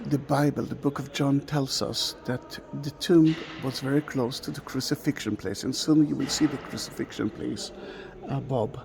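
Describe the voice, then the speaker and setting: A man whose first language is Hebrew, guiding a slow, halting walking tour inside a large stone church, recorded on a camera mic. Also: medium pitch, steady tone, vocal fry, darkish tone. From Israel. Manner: slow, halting, with many fillers. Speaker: tour guide.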